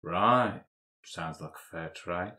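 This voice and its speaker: A man's voice: low voice, takes it slowly, pushed and bright, voice pushed down, full character voice. A man voicing a shady, infamous businessman in improvised role-play, curt, wry and cagey. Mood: wryly evasive. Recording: echoey room, faint noise.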